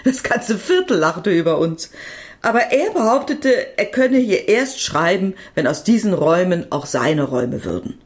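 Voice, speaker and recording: A woman's voice: on the low side, very animated, moderate pace. An actress playing a devoted woman doctor who tells of her love in a reflective, intimate radio drama monologue. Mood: reflective, tender.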